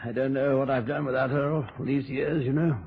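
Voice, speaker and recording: An actor in a radio play as a mild, henpecked older uncle, agreeable and a little hesitant. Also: male voice, mid-range voice, steady tone, a little fast, slight background noise, lightly acted. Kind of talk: radio drama acting. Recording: dull, lo-fi old radio recording.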